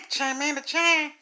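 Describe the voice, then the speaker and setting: Guy doing rambly game commentary, bright voice, swings up and down a lot, repeats stuff, dramatic. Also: extremely high pitch. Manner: theatrical, repeats phrases, addresses viewers directly.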